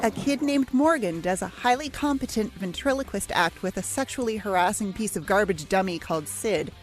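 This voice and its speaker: A woman's voice: medium pitch, fairly quick, over music. A woman co-hosting a podcast with a sardonic manner, in scripted recap and casual chat. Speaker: podcast co-host.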